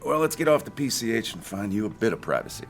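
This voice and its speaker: An affable husband in an audio drama, his voice on the low side and lively in its swings. He is folksy and teasing, with a quietly probing edge, over a music bed. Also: fast. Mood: teasing, casual. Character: affable married man offering a hitchhiker a lift, quietly probing. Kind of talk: naturalistic audio drama acting.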